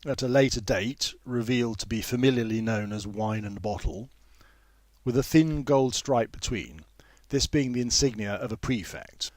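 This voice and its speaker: A man's voice: medium pitch, moderate pace, a little mellow, dry recording. Man reading literary fiction, closely recorded. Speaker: author reading own novel. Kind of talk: literary audiobook narration.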